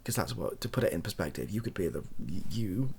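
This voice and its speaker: A young man speaking softly and breathily in a casual, rambling monologue, hesitant and self-deprecating as he gives his opinions. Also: on the low side, very animated, fairly quick, slight background noise. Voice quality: soft, husky, breathy. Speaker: online video creator giving opinions.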